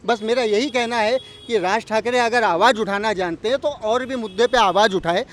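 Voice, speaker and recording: A man speaks in a high-pitched voice, making his case emphatically in a street interview. He argues through pointed questions, with some traffic noise around him outdoors. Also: moderate pace, fairly bright tone. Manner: emphatic, argumentative, rhetorical questioning. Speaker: local community representative interviewed on the street. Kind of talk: street interview, opinion on politics.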